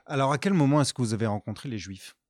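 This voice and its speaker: A man's voice: medium pitch, lively intonation, quick pace, a little mellow. A man with a mid-range voice interviews someone in a conversational style. He listens attentively, prompts and rephrases what he hears. The sound is dry, with no room echo.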